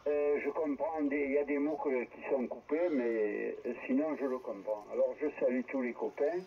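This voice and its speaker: A man's voice: medium pitch, normal speed. Older man over a dull, hissy radio. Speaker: amateur radio operator. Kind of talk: casual ham radio chat. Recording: dull, faintly noisy amateur radio transmission.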